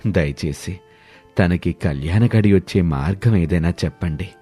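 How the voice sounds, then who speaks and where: Man as a mellow, gentle ageing father. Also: low voice, unhurried, slightly rough, soft background music. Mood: anxious, remorseful. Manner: soft, patient, pleading. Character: humbled, gentle ageing village father, anxious for daughter.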